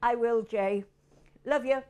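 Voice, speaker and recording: A woman's voice: on the high side, fairly even intonation, slow pace, a little mellow, clean tone. An older woman with a Yorkshire accent, hosting a casual cooking demonstration in her home kitchen, chatting with rambling asides as she works. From England. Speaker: cooking show host.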